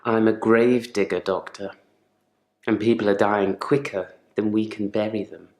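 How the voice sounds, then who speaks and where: A man's voice: fairly low pitch, level tone, a little slow, darkish tone. A man playing a troubled gravedigger in therapy for a video game, speaking in a guarded, dryly sardonic, articulate way. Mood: wry, reflective. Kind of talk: naturalistic acted monologue in therapy session. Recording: echoey room.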